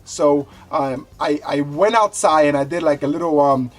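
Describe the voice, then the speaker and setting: A man's voice: on the high side, normal speed, soft background music. A man casually presenting a gadget review in an easygoing, explanatory way. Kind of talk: casual hands-on review chat.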